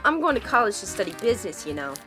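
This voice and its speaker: A woman's voice: medium pitch, big pitch swings, rapid-fire, lightly acted. A woman playing a fiery, bitter daughter in her late teens in a noir radio drama, sassy and combative. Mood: bitter, angry. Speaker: student voice actor. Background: music.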